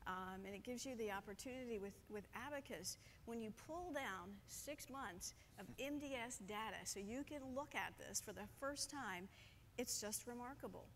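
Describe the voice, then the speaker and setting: A middle-aged woman, speaking as a conference panelist in a measured way with frequent pauses, on a lapel mic. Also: fairly high pitch, fairly bright tone, slight background noise. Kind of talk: panel discussion. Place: conference stage.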